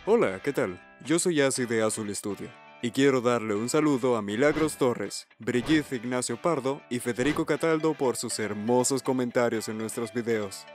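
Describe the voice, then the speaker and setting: A man's voice: medium pitch, normal speed, hardly any pauses, soft background music. A man giving a scripted channel outro as the host of a dubbing studio.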